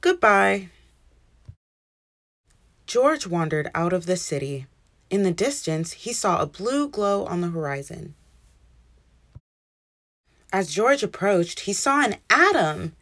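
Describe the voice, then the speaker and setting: A woman reading a children's picture book aloud as an expressive, animated storyteller. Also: lowish voice, unhurried, long pauses. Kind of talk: expressive scripted read-aloud narration.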